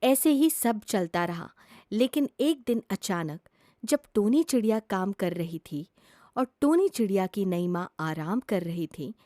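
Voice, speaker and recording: Woman reading a kids cartoon story, soft dark tone, dry close sound. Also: mid-range voice, animated, unhurried, no echo. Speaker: storyteller, narrator. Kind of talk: scripted children's story narration.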